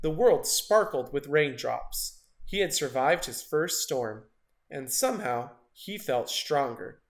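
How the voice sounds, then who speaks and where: Male storybook narrator, fairly high-pitched, highly varied intonation, dramatic children's read-aloud.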